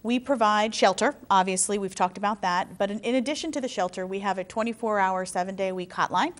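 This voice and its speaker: A woman's voice: mid-range voice, fast. A woman guest on a talk show, earnestly explaining a domestic violence program's services. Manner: earnest, informative, some restarts. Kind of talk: interview answers.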